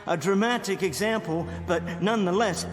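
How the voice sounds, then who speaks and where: An actor playing a precise, self-assured scientist and criminal mastermind in a radio drama, with formal, clipped diction. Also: male voice, high voice, normal speed, close to an everyday voice. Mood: coolly vindicated. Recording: hall-like reverb with faint music.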